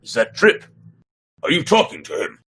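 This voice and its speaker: A man acting a sorrowful, ailing president and older father, solemn and halting, in a sci-fi story reading. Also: fairly high pitch, wildly swooping pitch, pushed and bright, slight background noise, full character voice.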